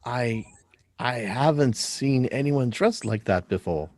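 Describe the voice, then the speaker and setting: A man plays a schoolboy in a radio drama. His mid-pitched voice moves in lively swings and sounds dry and close. He is earnest, curious and courteous, with a persistent questioning streak. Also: slow, dry recording. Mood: apologetic. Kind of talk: live script reading of a radio drama.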